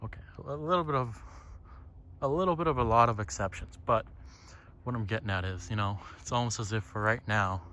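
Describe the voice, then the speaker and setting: A man's voice: on the low side, brisk, sharp tone. A man giving a casual, rambling, reflective monologue, musing and swearing freely as he goes. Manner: musing, profane, often tags phrases with "right?". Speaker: solo podcaster. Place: outdoors on a lakeside trail. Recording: outdoor ambient noise, birds. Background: outdoor ambience with birds.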